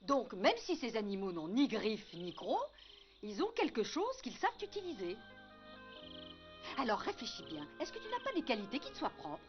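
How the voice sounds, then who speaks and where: A woman voicing a gentle mother wolf in a French cartoon dub, patient and encouraging. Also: fairly high pitch, wildly swooping pitch, normal speed, highs cut off, soft background music. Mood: patient, coaxing. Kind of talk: French animated series dub.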